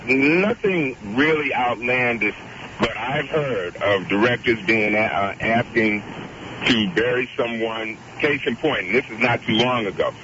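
A deep-voiced man calling in to a radio talk show, earnest and wordy, often cutting in to make his point. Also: unhurried, over a noisy crowd. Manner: earnest, wordy, interrupts with repeated "wait a minute". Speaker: caller. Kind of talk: radio call-in chat. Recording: dull radio audio with clear hiss.

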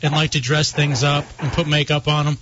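Male radio host, high and sharp-toned, playful and needling; dull audio with a faint hiss. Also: flat delivery, brisk. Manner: teasing, mock-offended, playful. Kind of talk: joking radio talk.

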